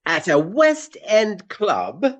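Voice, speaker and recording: A pompous Regency gentleman who fancies himself a great tragedian. He declaims very slowly in a high, melodramatic voice, as if in a radio play. The sound is slightly dull. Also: huge pitch swings, full character voice. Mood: self-pleased, amused. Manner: grandiloquent, drawn-out, melodramatic delivery. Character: pompous Regency gentleman, a hammy amateur tragic actor.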